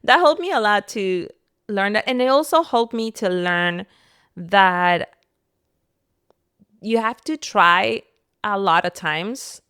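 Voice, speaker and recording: Smooth-voiced woman, lower pitched. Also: a bit bright, no echo, clean studio sound. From Peru. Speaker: podcast guest, entrepreneur and content creator. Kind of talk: personal storytelling in casual conversation.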